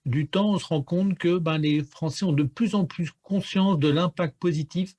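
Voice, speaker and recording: A man's voice: a little high, moderate pace, a little mellow. A man giving analysis as a guest pollster in a radio interview, explaining things with frequent stammered repeats.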